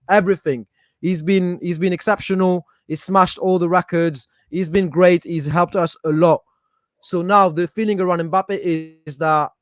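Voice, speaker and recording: A high-voiced man with a French accent, raised in Paris, arguing his side in a casual podcast chat. He talks with passion and stumbles over repeated words as he hunts for the right phrase. The recording is close and dry, with no room sound. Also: no room sound. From Paris, France. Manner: passionate, repeats words while searching for them. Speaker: guest, football fan.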